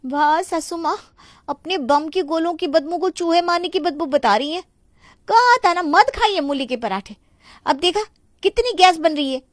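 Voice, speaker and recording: A woman's voice: extremely high pitch, lively intonation, normal speed, no echo, clean studio sound. A woman voicing a blunt, teasing woman in a moral story, mocking and exclamatory.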